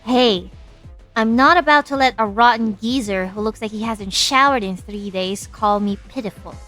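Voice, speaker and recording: A woman playing a sassy, anxious young lab director in an expressive, flippant fan-dub style. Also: a little high, moderate pace, soft background music, natural voice. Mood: tense, defiant. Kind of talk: fan-dub game drama acting.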